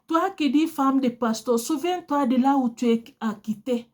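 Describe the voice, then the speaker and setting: A woman's voice: high-pitched, moderate pace. A woman whose first language is Portuguese, preaching a sermon in an earnest, exhorting way, addressing her listeners directly.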